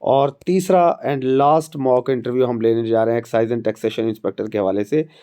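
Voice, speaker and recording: A man's voice: mid-range voice, steady tone, a little fast, mellow, clean tone. A man with a Pakistani Urdu accent and a sore throat, giving chatty exam and job updates as an academy instructor. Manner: chatty, conversational, addresses viewers directly.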